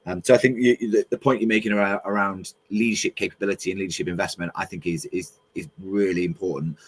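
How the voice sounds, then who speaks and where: Low-voiced man hosting a webinar. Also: steady tone, fast, breathy edge. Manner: hesitant, many restarts and fillers. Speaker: webinar host. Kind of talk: moderating a panel discussion.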